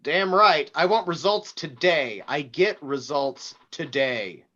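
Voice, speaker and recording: An actor playing a brash, demanding, foul-mouthed tech tycoon, pushy and sarcastic, in a screenplay table read. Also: male voice, fairly high pitch, slow, pushed and bright, dull audio, heavily acted. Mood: demanding, irritated.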